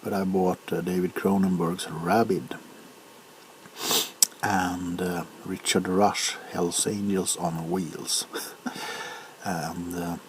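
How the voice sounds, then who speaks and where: A man in his forties from Sweden chatting in soft-spoken ASMR style, hesitant and unhurried, often drifting into whispers. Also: low-pitched, flat delivery. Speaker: ASMR video host. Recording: faint background noise.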